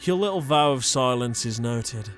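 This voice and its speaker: An actor playing a zealous, smug holy inquisitor in an audio drama, speaking in a pompous, deliberate, formal manner. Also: male voice, mid-range voice, expressive, faint music behind, close to an everyday voice. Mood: smug, menacing. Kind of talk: dramatic audio roleplay acting.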